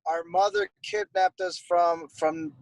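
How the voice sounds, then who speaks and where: A young man from Costa Rica chats easily as an interview guest, rambling with many fillers. His voice sits a little high for a man. The sound is dry and close. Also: steady tone, unhurried, creaky, no echo. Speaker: guest, airbrush artist. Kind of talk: casual interview chat.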